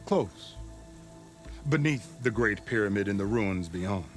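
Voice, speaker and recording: A man's voice: lowish voice, lively intonation, measured pace, faint music behind. An actor playing a devout, scholarly overseer in a video game, speaking in formal, reverent and grandiose phrases, deferential to his ruler. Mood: formal, measured. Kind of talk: video game dramatic acting.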